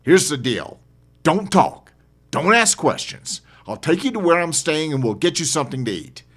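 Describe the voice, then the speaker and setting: A man performs a hard-bitten male survivor in a zombie audiobook. He is impatient, blunt and commanding. His delivery jumps widely in pitch. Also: sing-song, moderate pace, a bit bright. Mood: angry, commanding.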